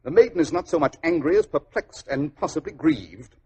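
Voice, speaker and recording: A shrewd detective in vintage 1940s radio style. His voice is husky and a little high for a man, with animated intonation. He sounds precise, assured and slightly condescending. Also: fairly quick. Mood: wry, observant. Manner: precise, formal, gently condescending. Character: shrewd, self-assured male detective, coolly observant. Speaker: actor in a radio detective drama.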